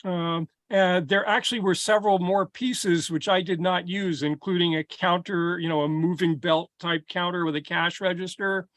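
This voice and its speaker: A man with a high voice walks through his hobby build in an easy, explanatory way, with an occasional filler. His voice sounds dry and close, with little room sound. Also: normal speed, hardly any pauses, no room sound. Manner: relaxed, explanatory, some fillers. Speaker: hobbyist presenting his model. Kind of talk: casual show-and-tell explanation.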